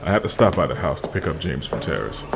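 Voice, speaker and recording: Deep, gravelly, stern actor as a male detective. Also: big pitch swings, fast, heavy background noise, heavily acted. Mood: stern, impatient. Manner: terse, brooding, dry. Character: stern, no-nonsense male lead police detective. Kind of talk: audio drama dialogue. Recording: reverberant hall, music underneath.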